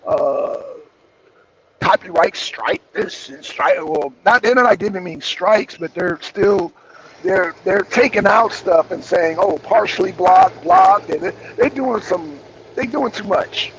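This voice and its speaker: Raspy high-voiced man streaming live. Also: expressive, slow pace, a little hiss or hum, low-quality recording. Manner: rambling, repeats phrases, greets viewers by name. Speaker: streamer hosting a wrestling show. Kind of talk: casual live-stream talk to chat.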